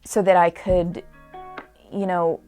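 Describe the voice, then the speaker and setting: Chatty young woman, low voice. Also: level tone, takes it slowly, dark tone, faint music behind. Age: 20s. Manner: chatty, trailing restarts and many likes. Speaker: actor guest. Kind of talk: casual podcast interview.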